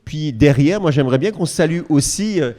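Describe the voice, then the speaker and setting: A man co-hosting a casual podcast chat, speaking haltingly with self-corrections and playful asides. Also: on the high side, lively intonation, dark tone. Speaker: podcast co-host. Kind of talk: casual chat before a live audience.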